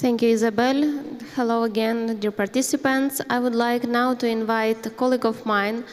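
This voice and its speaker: Flat-voiced woman official. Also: on the high side, a little fast. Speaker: regional official. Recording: echoey conference hall.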